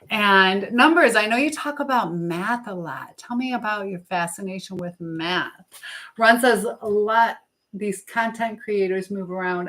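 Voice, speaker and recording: A woman's voice: medium pitch, fairly bright tone. A woman hosting a casual live stream while she cooks, chatting informally to viewers and reading out their comments. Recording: clear background noise and clicks.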